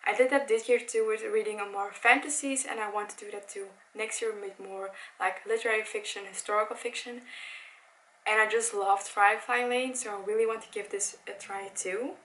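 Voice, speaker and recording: Young woman in her twenties, first language Dutch, easygoing and excitable, chatty vlog delivery with lots of fillers and restarts. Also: medium pitch, fairly quick, low-quality recording. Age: 20s. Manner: chatty, enthusiastic, with frequent self-corrections and "like". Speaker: book vlogger. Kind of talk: casual chat. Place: home room by a bookshelf.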